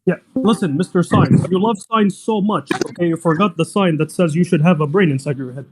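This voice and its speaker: A man's voice: high-pitched, fairly quick, very noisy. A young man whose first language is Arabic, arguing insistently in an online debate and pressing the same demand over and over. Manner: insistent, repeats demands, talks over others.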